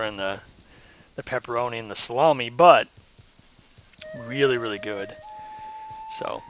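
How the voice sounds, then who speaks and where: A hoarse young man giving casual, rambling commentary while playing a game, with lots of ums and uhs. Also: mid-range voice, fairly bright tone, slight background noise, rough recording. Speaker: let's play host.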